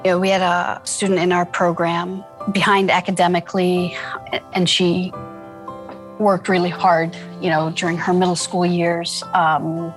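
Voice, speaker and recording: A woman telling stories from her work in an interview, earnest and reflective, with many pauses and fillers. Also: on the low side, little pitch movement, soft background music. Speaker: founder of a refugee school. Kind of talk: interview storytelling.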